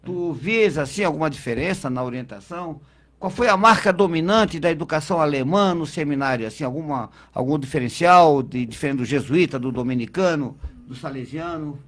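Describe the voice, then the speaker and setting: A man's voice: fairly high pitch, fairly quick, a bit bright. Curious male interviewer. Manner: informal and curious, short prompts and backchannels. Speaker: interviewer, host. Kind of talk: interview questions.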